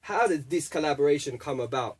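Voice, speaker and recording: A man's voice: a little high, animated, fairly quick, a little mellow, husky. A man hosting a casual radio interview in a studio, playful and teasing. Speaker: radio DJ host.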